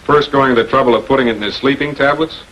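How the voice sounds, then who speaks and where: An actor playing a calm, persistent public prosecutor in a 1940s filmed crime drama, questioning people in a measured, authoritative way. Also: male voice, medium pitch, natural voice. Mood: firm, composed. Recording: noisy old 1940s film soundtrack.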